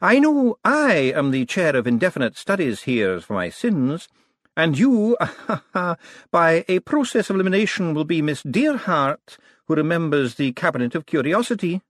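Man playing a fussy elderly wizard, high-pitched. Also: big pitch swings, normal speed, a bit bright, dry recording, clean studio sound. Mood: fussy, courteous. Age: older. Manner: fussy, courteous, conspiratorial. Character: elderly male wizard academic, polite, fussy, conspiratorial.

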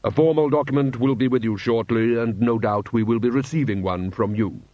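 A man voicing a suave foreign prince and diplomat with formal, measured politeness. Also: medium pitch, no echo, highs cut off, close to an everyday voice. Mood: grave, courteous.

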